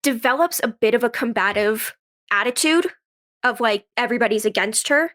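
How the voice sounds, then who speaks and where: A woman's voice: a little high, normal speed, fairly bright tone. A woman speaking casually as an interview guest, in a conversational way peppered with "like". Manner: conversational, frequent "like". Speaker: guest, actress and writer.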